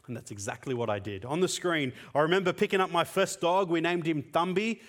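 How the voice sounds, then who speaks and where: A man's voice: on the high side, brisk. Earnest conversational male preacher. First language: Tamil. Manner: earnest, conversational, with frequent fillers. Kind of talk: sermon.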